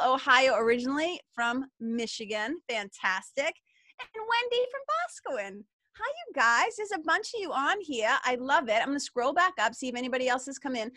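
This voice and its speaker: Woman, Boston accent, middle-aged, high bright voice, bubbly webinar co-host, dry close sound. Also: no room sound. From Massachusetts. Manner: bubbly, chatty, enthusiastic. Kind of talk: live webinar, greeting viewers in the chat.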